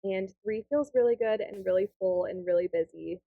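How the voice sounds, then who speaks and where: A woman's voice: mid-range voice, normal speed, no echo, narrow, tinny audio. A woman in her late twenties co-hosting a casual podcast, upbeat and gushing. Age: 20s. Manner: upbeat, gushing, warm compliments. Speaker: podcast co-host. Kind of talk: casual chat.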